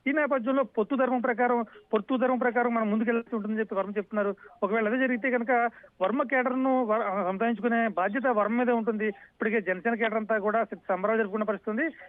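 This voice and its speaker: A man reporting live for TV news as a field correspondent, unscripted, with halting starts and repeated phrases, over a phone line. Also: very high voice, fairly even intonation, dry recording. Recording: dull, dry phone line.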